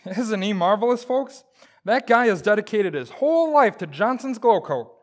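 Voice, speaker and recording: A man's voice: sits high, lively intonation, normal speed. A man playing a blustering, wisecracking, stubborn husband in old-time radio comedy, with a folksy, exaggerated, jokey delivery. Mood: teasing, sardonic.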